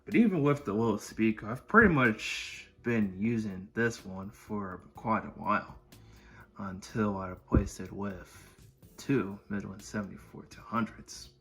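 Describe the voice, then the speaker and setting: A man presenting a collection in a casual showcase style, with halting, wordy phrasing. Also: lowish voice, fairly bright tone, soft background music. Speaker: video creator presenting a collection. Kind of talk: casual product showcase narration.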